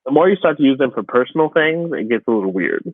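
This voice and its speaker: A man's voice: medium pitch, lively intonation, fast talker, no echo. Man on a thin, phone-like connection. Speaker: podcast co-host. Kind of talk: casual podcast chat. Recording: thin phone-like radio sound, dry.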